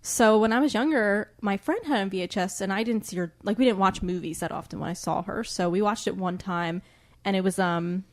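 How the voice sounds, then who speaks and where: A woman's voice: mid-range voice, a little fast. A woman co-hosting a podcast in a casual, chatty book discussion, giggly and full of hedging asides. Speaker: podcast co-host.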